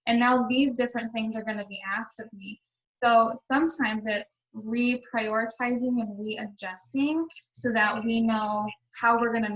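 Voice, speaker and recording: A woman's voice: a little high, fairly bright tone, highs cut off. Reflective woman leading a group call. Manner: reflective, talks through questions to herself. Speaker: team leader in direct sales. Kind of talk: casual group call discussion.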